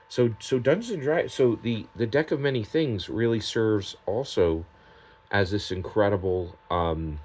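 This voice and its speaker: A man giving unscripted, opinionated commentary as a tabletop gaming vlogger, emphatic and halting, often tagging his points with a questioning word. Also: fairly low pitch, darkish tone, breathy edge. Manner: emphatic, halting delivery with repeated tag questions.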